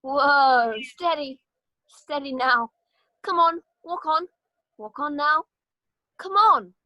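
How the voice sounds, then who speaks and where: A no-nonsense army horse sergeant played by a woman, high-pitched and forceful, recorded close and dry. The speech is all commands and blunt remarks, in an amateur drama reading. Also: slow pace, no echo, lo-fi sound, heavily acted. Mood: steadying, coaxing. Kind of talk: amateur screenplay read-through.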